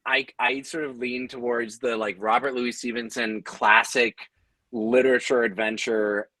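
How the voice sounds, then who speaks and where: A man with a mid-pitched voice talks as a creator on a discussion panel. He sounds thoughtful and halting, repeating words as he works out his point. The sound is dry, with very little room. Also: flat delivery, sharp tone, dry recording. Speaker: audio drama creator, panelist.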